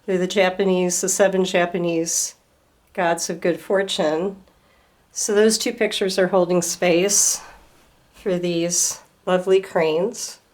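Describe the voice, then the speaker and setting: A woman at home gently explaining a handmade display to a camera, her voice slightly hoarse from a starting cold. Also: on the low side, steady tone. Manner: gentle, unhurried, pausing to explain details. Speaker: student presenting a craft project. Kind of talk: slow show-and-tell explanation. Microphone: camera filming a display.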